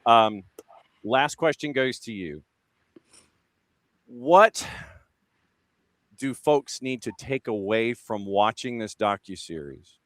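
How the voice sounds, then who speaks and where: A man's voice: medium pitch, animated, takes it slowly, long pauses, fairly bright tone, no room sound. An American man hosting a casual sports interview, rambling into his questions in a folksy way. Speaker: sports show host.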